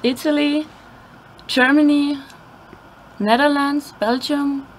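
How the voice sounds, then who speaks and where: A woman's voice: high-pitched, steady tone, slow pace, a little hiss or hum, low-quality recording. A young woman from Austria, an exchange student guest who sounds cheerful and agreeable. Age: young adult.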